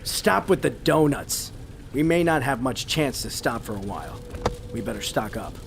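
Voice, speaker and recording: An actor playing a seasoned former field agent, wary, serious and dryly exasperated, in an audio drama. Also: male voice, medium pitch, expressive, moderate pace, gravelly, music in the background. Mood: decisive.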